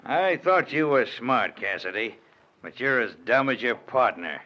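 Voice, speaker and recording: An actor playing a ruthless, mocking outlaw boss in 1940s radio acting style. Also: male voice, medium pitch, very animated, a little slow. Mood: gloating. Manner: mocking, taunting. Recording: muffled old radio recording.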